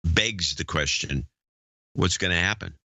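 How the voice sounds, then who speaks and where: A man co-hosting a podcast, tossing out dry, grumbling comments. His voice is on the low side with a very sharp, bright edge, recorded dry and close. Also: unhurried, no echo. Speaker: podcast co-host. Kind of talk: casual chat.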